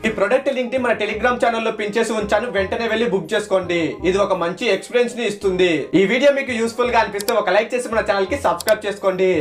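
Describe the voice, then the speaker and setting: A man's voice: high voice, racing, few pauses, music in the background. A man narrating a scripted tech product review as a host, in a casual, playful way.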